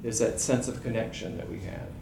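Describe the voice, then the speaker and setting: Low-voiced musing man in echoey room. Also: creaky. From Georgia, USA. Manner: unhurried, musing, many ums and uhs. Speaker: panelist writer. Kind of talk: reflective panel talk. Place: event room in a library. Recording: echoey room with background noise.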